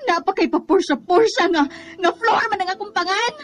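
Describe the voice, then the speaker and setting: A woman's voice: extremely high pitch, moderate pace. An actress playing a hurt, accusing young schoolgirl girlfriend in a Filipino radio drama, with a Filipino accent. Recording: dull lo-fi sound with faint music.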